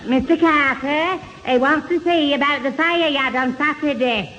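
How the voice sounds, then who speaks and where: An actor playing a dim, flustered young sidekick who stammers and stumbles through a radio sitcom. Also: male voice, very high-pitched, moderate pace. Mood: exasperated. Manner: stammers and stumbles over words. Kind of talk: 1970 radio sitcom acting. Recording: muffled, very noisy old radio recording.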